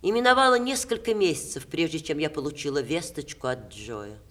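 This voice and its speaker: An ageing theatre actress in a classic radio play. She is proud and emotional, speaking with theatrical expression and carefully measured diction. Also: sits low, animated, a bit bright. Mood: sombre recounting. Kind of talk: 1960s Russian theatre radio play acting.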